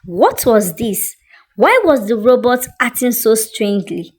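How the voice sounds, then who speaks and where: A woman narrating a scripted dramatic story in an expressive, suspenseful way. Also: on the high side, a little slow.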